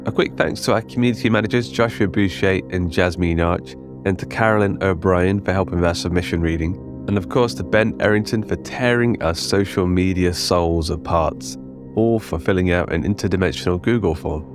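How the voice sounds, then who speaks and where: A man's voice: low-pitched, a little fast, over music. A man hosting a fiction podcast, reading a scripted outro with credits.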